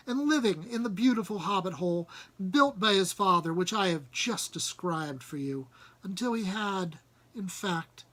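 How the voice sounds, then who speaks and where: A man reading a storybook aloud as an audiobook narrator, with expressive delivery. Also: high voice. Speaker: audiobook reader.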